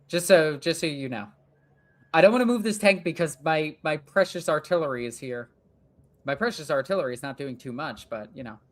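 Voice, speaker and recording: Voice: medium pitch, lively intonation, brisk. Chatty gamer rambling while playing. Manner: chatty, rambling, swears casually. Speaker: gaming streamer. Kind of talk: casual chat while playing a strategy game.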